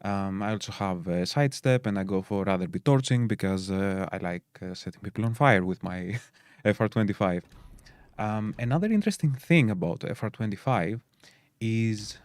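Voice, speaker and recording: A man with a Greek accent chats his way through a game stream, his voice low and resonant. The sound is close and dry, with no room in it. He leans on fillers as he walks through the details. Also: lively intonation, normal speed, darkish tone, smooth, no echo. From Greece. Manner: chatty, many fillers, explains game details. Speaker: stream co-host. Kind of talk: casual game-stream chat.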